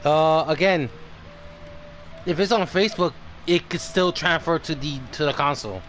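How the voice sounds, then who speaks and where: A man's voice: a little high, normal speed, a bit bright, faint music behind. A man livestreaming a game, chatting casually and reacting as he plays. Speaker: game streamer. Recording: faint background noise.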